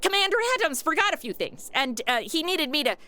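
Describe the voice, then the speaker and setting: A woman's voice: sits high, sing-song, fairly quick, bright, forceful tone, full character voice. A woman playing a cheeky, scheming modern young influencer with breezy slang in a radio comedy. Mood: sheepish.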